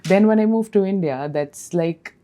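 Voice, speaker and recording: A woman from Nepal in her thirties, a dental specialist and podcast guest, telling her story in a warm, reflective way with many fillers and restarts. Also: medium pitch, moderate pace. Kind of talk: conversational podcast interview.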